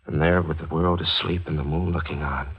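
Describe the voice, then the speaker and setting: A man's voice: rumbling low, steady tone, slightly rough, muffled recording. An actor playing a tormented, guilt-haunted man in a 1940s radio thriller, narrating and speaking with brooding intensity. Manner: brooding, intense, halting in dialogue. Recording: 1940s radio recording with music behind.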